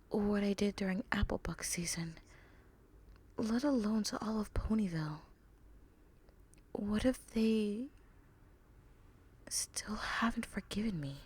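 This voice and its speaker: A woman voicing a gentle, affectionate young female bat pony with a guilty streak, in a soft, breathy, hesitant voice for a fan fiction story. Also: mid-range voice. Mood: regretful, worried.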